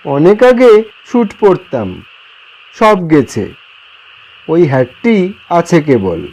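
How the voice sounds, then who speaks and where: A man voicing a meek, eccentric older gentleman fallen on hard times, earnest and apologetic, in a story. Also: fairly high pitch, sing-song, slow, voice pushed up, exaggerated voice, low-quality recording. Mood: sheepish, wistful. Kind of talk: acted dialogue in an audio story.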